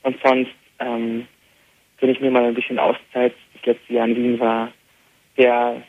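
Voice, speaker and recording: A young man, a native Arabic speaker with an Austrian German accent, answering a radio interview by phone in an easy manner with many filler pauses. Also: mid-range voice, monotone. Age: young adult. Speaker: interview guest, band musician. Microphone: telephone. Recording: tinny phone line with faint hiss.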